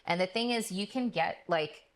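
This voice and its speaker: A woman speaking as a guest nutrition therapist on a podcast, chatty and friendly, laughing often and agreeing quickly. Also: fairly low pitch. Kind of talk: casual podcast conversation.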